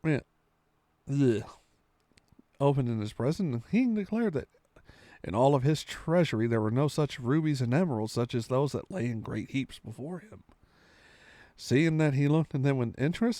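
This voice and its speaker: A man's voice: mid-range voice, animated, moderate pace, dark tone, no room sound. A man reading a storybook aloud with dramatic, theatrical delivery, sometimes stumbling and correcting himself.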